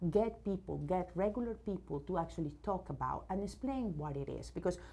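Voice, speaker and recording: Woman, from Venezuela, English speaker, low voice, hesitant restarts, enthusiastic, CEO interviewee. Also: expressive, a little fast, gravelly, dark tone. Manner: many fillers and restarts, searching for words; enthusiastic about her subject. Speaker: robotics company CEO, guest. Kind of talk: news interview.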